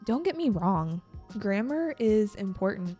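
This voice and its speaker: A young woman hosting an English-learning podcast, talking calmly and encouragingly as a teacher. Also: medium pitch, faint music behind. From United States. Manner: calm, encouraging, slow and deliberate. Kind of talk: scripted educational podcast talk.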